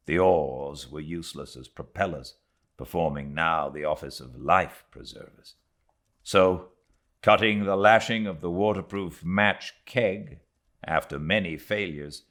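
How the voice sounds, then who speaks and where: A British man giving a dramatic reading of a literary audiobook, theatrical in delivery, moving between swelling intensity and hushed tones. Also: low voice. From Britain. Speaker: audiobook narrator.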